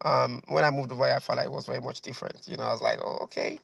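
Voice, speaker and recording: A man's voice: mid-range voice, fairly even intonation, rapid-fire, vocal fry, fairly bright tone, husky, no echo, dull audio. A man in his thirties with a Nigerian accent, a guest violinist chatting over a video call, rambling with lots of fillers and repeated phrases. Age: 30s.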